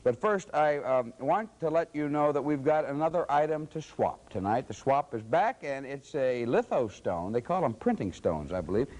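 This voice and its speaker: A man's voice: mid-range voice, expressive, moderate pace, few pauses, darkish tone. An American man anchoring a newscast, introducing reports and talking straight to viewers. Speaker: television news anchor. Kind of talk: newscast presenting.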